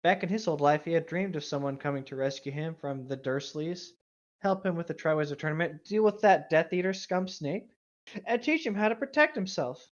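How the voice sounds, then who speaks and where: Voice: mid-range voice, lively intonation, brisk. A wry co-host chats casually and reads fan fiction aloud, tossing in jokes. The sound is slightly dull and lo-fi. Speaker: podcast co-host.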